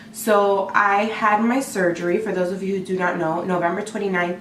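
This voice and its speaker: A woman vlogger, hoarse from a cold, chatting excitedly to camera with lots of fillers. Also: medium pitch, reverberant, distant mic, a little hiss or hum. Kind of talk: casual talk to camera.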